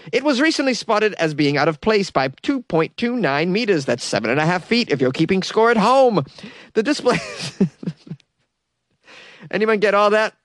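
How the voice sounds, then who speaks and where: A man's voice: high voice, fairly bright tone, no echo, clean studio sound. Sarcastic man riffing on news. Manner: sarcastic, joking, casual swearing. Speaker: podcast host. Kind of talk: comedic news commentary.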